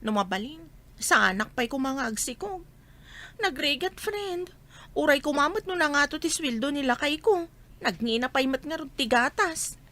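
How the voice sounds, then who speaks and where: High, bright-voiced plaintive young mother played by an actress. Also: expressive, moderate pace. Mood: weary, friendly. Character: struggling young mother, worn down and pleading with her husband. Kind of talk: radio drama acting.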